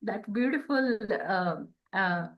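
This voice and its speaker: A woman in reflective spiritual conversation, speaking in short phrases with frequent pauses. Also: medium pitch, expressive, lo-fi sound. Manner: halting, pausing between short phrases. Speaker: podcast co-host.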